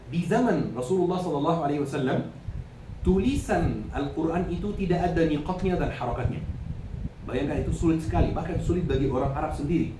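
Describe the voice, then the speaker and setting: A man's voice: a little high, a little fast. Arabic-accented male preacher in an echoey room. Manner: didactic, repeats phrases, asks and answers own questions. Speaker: Islamic preacher. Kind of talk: religious lecture, teaching with questions to listeners. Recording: echoey, noisy room, distant microphone.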